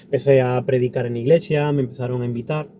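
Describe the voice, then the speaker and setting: A man with a peninsular Spanish accent and a very mellow, dark voice of medium pitch. He answers interview questions earnestly and reflectively, in a conversational way. A faint ambient noise sits behind him. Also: fairly even intonation, fast talker. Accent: Spanish from Spain. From Andalusia, Spain. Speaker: interview guest, pastor.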